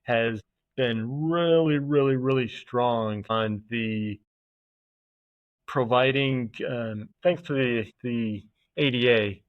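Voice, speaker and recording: A man, a podcast guest, talking in a thoughtful, halting way with many fillers and restarts. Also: mid-range voice, no room sound. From United States. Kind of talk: casual conversational interview. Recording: dull, muffled podcast audio.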